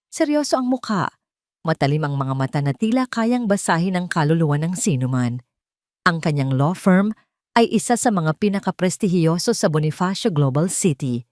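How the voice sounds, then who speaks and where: Woman, Filipino accent, low pitch, dry recording, scripted dramatic storytelling. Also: a little mellow, no echo. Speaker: audio story narrator.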